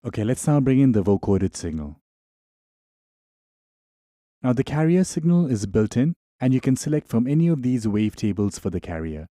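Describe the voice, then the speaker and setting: Man with a very mellow, dark voice. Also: medium pitch, big pitch swings, normal speed, pauses often, no echo, clean studio sound. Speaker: software tutorial instructor. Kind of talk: tutorial narration.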